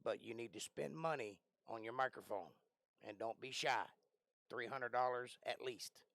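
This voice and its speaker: A man with a raspy, worn voice that he is losing hosts a casual livestream from a cramped bedroom. He talks into a close desk mic that leaves the sound dry, and he rambles while often clearing his throat. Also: medium pitch, moderate pace, a bit bright, no room sound. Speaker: livestream host. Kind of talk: casual live-stream chat. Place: small bedroom. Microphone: close desk microphone.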